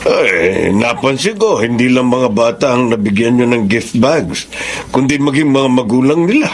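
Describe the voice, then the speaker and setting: A man's voice: medium pitch, big pitch swings, moderate pace, a little hiss or hum. An actor playing a friendly, approving village chairman in a radio drama. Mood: cheerful.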